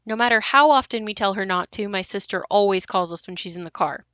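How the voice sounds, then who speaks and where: A woman's voice: medium pitch, fairly quick, fairly bright tone, no room sound, close to an everyday voice. A woman playing a worried adult daughter who narrates an audio play in a confiding, conversational way. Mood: wry concern. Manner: confiding, conversational, matter-of-fact.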